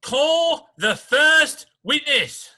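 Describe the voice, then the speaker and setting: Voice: high-pitched, very animated, drawn-out words, sharp tone, full character voice. A performer playing an imperious, impatient royal judge who barks commands in a dramatic reading. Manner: commanding, blustering. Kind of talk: dramatic reading of a classic children's story.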